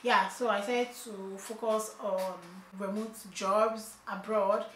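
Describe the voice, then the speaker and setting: A woman's voice: mid-range voice, fairly even intonation, takes it slowly, fairly bright tone, a little hiss or hum. A young woman chatting casually to her viewers in a vlog update, often asking "okay?" and calling out to "you guys". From not from China. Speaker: vlogger. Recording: echoey room.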